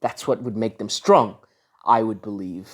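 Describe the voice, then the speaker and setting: A man's voice: fairly low pitch, unhurried. A man from Africa giving reaction commentary on a video, lecturing his viewers with frequent restarts and fillers.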